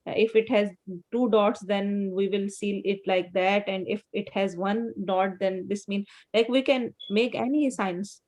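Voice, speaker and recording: A woman's voice: mid-range voice, fairly even intonation, measured pace, hardly any pauses, darkish tone. A woman teaching a class on Quran recitation rules, explaining patiently step by step with memory tips. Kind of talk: online class instruction.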